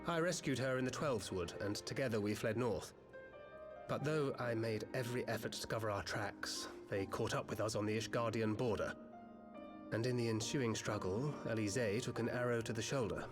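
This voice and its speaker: An actor playing a serious, weary adventurer, telling his account in a grave, measured way. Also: fairly low pitch, lightly acted. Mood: grave, wry. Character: serious, weary adventurer man recounting a perilous escape. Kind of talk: video game voice acting, dramatic dialogue. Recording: game music under the voice.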